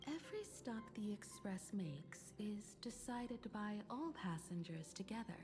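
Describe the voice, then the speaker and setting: Polite composed game heroine, mid pitch but lively melody, music under it. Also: female voice, measured pace. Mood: polite, reserved. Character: courteous, modest, composed train crew member. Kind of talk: scripted video game dialogue.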